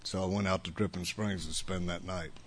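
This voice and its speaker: Low-voiced man in his seventies, rambling testimony. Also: steady tone, a little fast, a bit bright, slight background noise. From United States. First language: Italian. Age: 70s. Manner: rambling, blunt storytelling with restarts. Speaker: speaker sharing his recovery story. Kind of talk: personal testimony to an audience.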